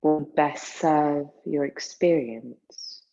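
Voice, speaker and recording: Very low, slow-speaking woman guiding meditation. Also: vocal fry, mellow, highs cut off. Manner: soothing, unhurried, with long pauses. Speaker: yoga nidra meditation guide. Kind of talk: guided meditation.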